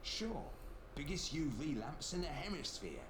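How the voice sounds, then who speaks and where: A worn-out, swearing survivor engineer in a video game. His delivery swings up and down as he grumbles. The game audio is roomy, with a clear bed of noise behind him. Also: fairly high pitch, big pitch swings, normal speed. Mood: frustrated. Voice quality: swears, blunt and frustrated. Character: gruff weary male survivor engineer.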